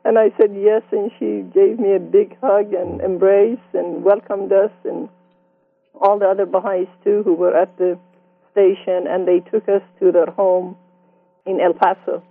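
A woman in her sixties from Iran with a Persian accent, telling her life story in an interview with reflective, gently amused warmth. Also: medium pitch, flat delivery, a little slow, gravelly, no echo. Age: 60s. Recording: dry tinny phone-like sound.

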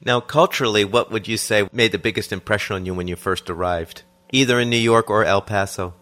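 A man interviewing a guest, asking calm, measured questions. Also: on the low side.

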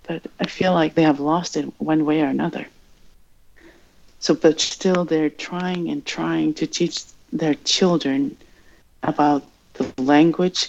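A woman from Greenland with a Greenlandic accent, speaking English as her third language in a reflective interview, pausing and searching for words. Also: low-pitched, darkish tone, highs cut off. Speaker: interview guest.

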